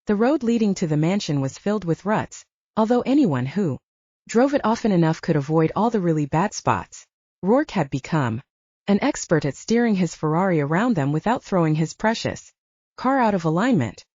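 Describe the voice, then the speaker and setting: A woman's voice: on the low side, animated, normal speed, darkish tone, no room sound. A woman reading scripted fiction as an audiobook narrator, in a slightly dull recording.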